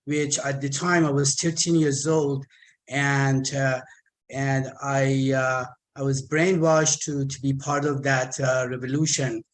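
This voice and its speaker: A man in his fifties from Iran, speaking with an Iranian accent and halting, repeated words as he gives a personal talk as a guest speaker. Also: mid-range voice, flat delivery, rough recording. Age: 50s. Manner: halting, stammered repetitions.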